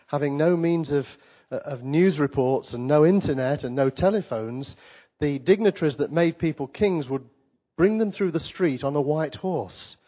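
A man preaching in church, his voice a touch croaky from a cold. He speaks at an ordinary middle pitch, chatty with the congregation and reading scripture aloud between remarks. The recording sounds dull and lo-fi. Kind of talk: conversational sermon to a congregation. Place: church service before a congregation.

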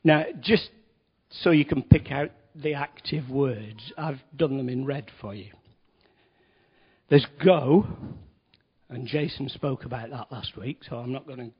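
A man's voice: medium pitch, unhurried, slightly rough. A man giving a conversational sermon in a church hall, with wry asides and emphatic stresses. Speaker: lay preacher. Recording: dull lo-fi recording.

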